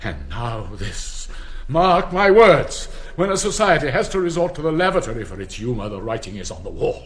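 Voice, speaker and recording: A man's voice: medium pitch, sing-song, normal speed, fairly bright tone. An older man with an upper-class English accent, acting a pompous, indignant old headmaster in a theatrical radio drama. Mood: aggrieved, weary. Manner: pompous, declamatory, exasperated.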